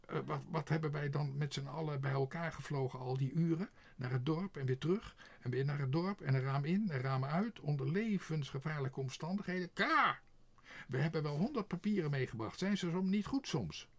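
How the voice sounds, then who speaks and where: A man's voice: high voice, expressive, moderate pace, vocal fry, voice pushed up, heavily acted. A man voicing a blustering, easily offended crow in a storybook, speaking sharply. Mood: angry, huffy. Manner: sharp, loud, blustering, stammers when worked up. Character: gruff, indignant, easily offended male crow.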